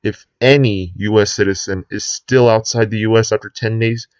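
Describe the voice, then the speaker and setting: A man playing a sinister US president who gives a grave, deliberate address to the nation in an emergency broadcast drama. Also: on the low side, steady tone, no echo, natural voice. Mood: grave, menacing. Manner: grave, deliberate speechmaking with drawn-out ominous phrases.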